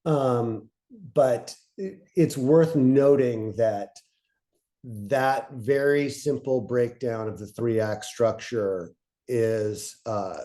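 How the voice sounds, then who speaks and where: Guy with a soft mellow voice explaining stuff, kinda halting, thinking out loud. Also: mid-range voice. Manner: halting, thinking aloud with broken-up phrases. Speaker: co-presenter of a fiction podcast pitching webinar. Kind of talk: informal instructional talk.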